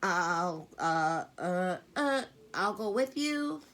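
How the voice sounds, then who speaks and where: A woman's voice: deep voice, unhurried, husky. A woman reading aloud and voicing an anxious teenage girl who wails nervously. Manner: nervous, wailing.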